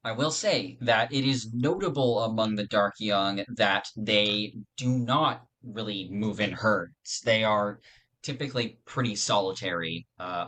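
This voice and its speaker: A man's voice: on the low side, fairly bright tone, rough recording. A man in a casual podcast discussion, explaining fictional lore in earnest detail. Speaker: podcast panelist.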